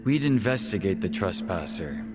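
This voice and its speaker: An actor in a game dub playing a calm, smug underworld intelligence boss who speaks with measured, businesslike courtesy and veiled menace. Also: male voice, deep voice. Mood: smooth, quietly menacing. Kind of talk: English video game dub acting. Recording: loud music under the voice.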